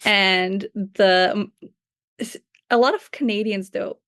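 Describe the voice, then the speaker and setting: A young woman speaks English as a second language with a light Slavic accent. She is a guest researcher talking in a casual, reflective way, often hedging with "like." Her voice is mid-pitched and dry, with no room sound. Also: fairly even intonation, slow, a bit bright, no echo. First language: not English. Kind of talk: casual conversation.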